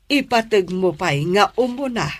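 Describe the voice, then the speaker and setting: A woman's voice: medium pitch, animated, normal speed, natural voice. A woman playing a worried, admonishing middle-aged mother in a radio drama. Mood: gently admonishing.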